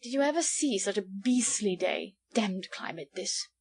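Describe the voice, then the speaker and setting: A woman's voice: medium pitch, animated, normal speed, darkish tone, slightly rough. Woman acting a drawling, foppish English baronet. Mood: placid, bantering. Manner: drawling, inane, affectedly bland. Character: foppish, lazily good-humoured English baronet, a tall man. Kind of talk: storybook character voice.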